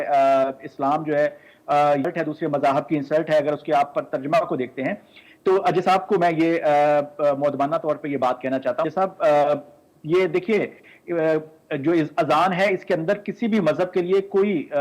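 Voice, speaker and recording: Higher-pitched, hesitant male debate panelist. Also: darkish tone, low-quality recording. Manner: hesitant delivery with frequent pauses, polite. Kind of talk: explanatory TV debate response.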